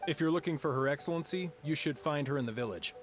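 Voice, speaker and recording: A man playing a brisk, dutiful island sentry in a video game, formal and helpful. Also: a little high, over music, natural voice.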